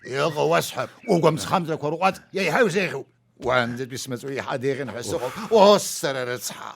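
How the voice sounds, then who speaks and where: A man voicing a bossy, scheming, easily irritated figure in an animated fairy tale, theatrical, with an Abkhaz accent. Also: mid-range voice, moderate pace, gravelly, a little hiss or hum.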